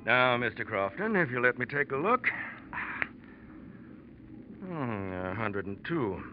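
A genial but insistent ship's doctor in 1940s radio drama. He is brisk, patronizing and reassuring, with widely swinging intonation on a dull old recording that has a faint hiss. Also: medium pitch, sing-song.

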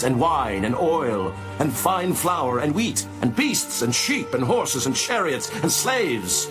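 A man's voice: medium pitch, low-quality recording. A man playing a solemn heavenly voice in a dramatized scripture reading, pronouncing judgment and lament in a declamatory way.

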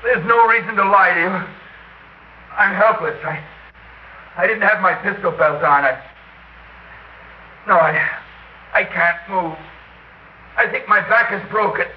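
A wounded, helpless American gunner in an old radio drama. His speech is blunt and keeps faltering, in a high male voice. The sound is muffled and roomy. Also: unhurried, long pauses. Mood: resigned, pained. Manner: blunt, faltering, breaking off phrases. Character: wounded American helicopter gunner, helpless, bitter, scared young man. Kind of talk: classic radio drama acting. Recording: dull, roomy, noisy old radio recording.

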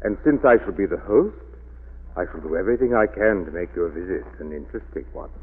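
An actor playing a secretive, formally courteous middle-aged mansion owner, halting and evasive, in 1940s radio drama style. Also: male voice, sits low, lively intonation, husky. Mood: cool, guarded. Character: guarded, courteous older master of a mansion, secretive father. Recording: tinny old radio recording.

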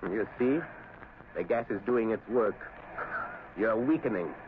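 A sinister, arrogant doctor, played by a man in a 1930s radio drama, speaking with formal, measured menace. The recording is thin and tinny, with faint hiss behind the voice. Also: lowish voice, lightly acted. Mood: menacing, gloating. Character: cold, arrogant male doctor, a sinister saboteur.

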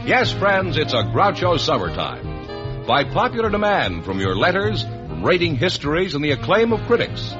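A man announcing in a polished, emphatic 1950s radio style. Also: on the high side. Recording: dull old radio broadcast recording. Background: music.

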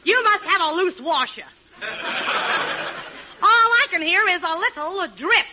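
A woman's voice: very high-pitched, lively intonation, unhurried. An actress playing a jealous young girlfriend, scolding and sarcastic, in a 1940s radio comedy. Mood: scornful. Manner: sarcastic, scolding, biting wisecracks. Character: sharp-tongued, jealous, scornful young girlfriend, twenty-five. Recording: muffled, noisy old radio recording.

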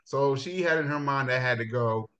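A middle-aged man from Jamaica, a high school football coach, telling his life story in a relaxed interview with laughs and restarts. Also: a little fast. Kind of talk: casual interview. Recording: dull lo-fi sound.

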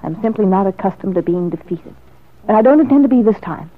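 An actress playing a determined, sharp-tongued woman in a classic radio soap opera, with a haughty, sarcastic manner. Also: medium pitch, expressive, fast, close to an everyday voice. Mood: defiant. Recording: muffled lo-fi vintage radio recording.

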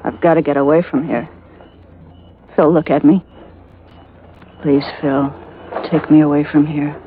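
A woman's voice: low voice, steady tone, measured pace, phone-quality sound, a little hiss or hum. An actress playing a desperate, scheming seductive woman in a melodramatic, pleading 1940s radio drama style. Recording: band-limited vintage radio recording with music.

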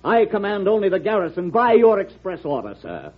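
A haughty army general in old radio drama. The voice sits high for a man and has a hoarse grain. The delivery is formal and insolent, on a dulled vintage recording. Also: wildly swooping pitch, fairly quick, full character voice. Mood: coolly defiant. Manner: pompous, formal, coolly insolent. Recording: dull vintage radio broadcast.

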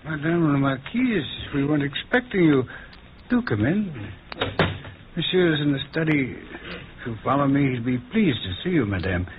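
A man's voice: on the high side, sing-song, moderate pace. A man playing a deferential, courteous household servant, formal and obsequious, in 1940s radio drama style. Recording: tinny, noisy old radio recording.

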